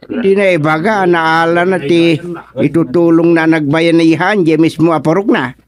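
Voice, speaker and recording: A man's voice: on the high side, moderate pace, lightly acted. A man playing an exasperated village chairman in an Ilocano radio comedy drama, with an Ilocano accent and conversational interjections. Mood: chiding. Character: male barangay chairman, exasperated with a lazy councilman.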